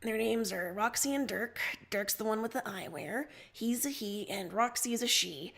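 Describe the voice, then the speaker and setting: A stubborn young alien emperor from a read-aloud story, acted by a woman, easily flustered and defensive. The voice is fairly high and husky, with a creaky edge and a grumbling manner. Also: moderate pace, a bit bright. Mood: matter-of-fact. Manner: huffy, defensive.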